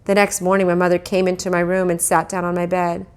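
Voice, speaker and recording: A woman's voice: fairly low pitch, completely flat delivery, quick pace, clear, resonant tone. A woman teacher reading a children's story aloud, expressively and speaking directly to young listeners. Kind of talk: storybook read-aloud narration.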